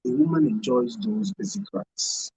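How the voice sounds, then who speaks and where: A man's voice: medium pitch, expressive, moderate pace, darkish tone, husky, no room sound. A man with a Nigerian accent speaking as a radio panel guest, explaining earnestly and repeating points for emphasis. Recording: dull, band-limited radio sound.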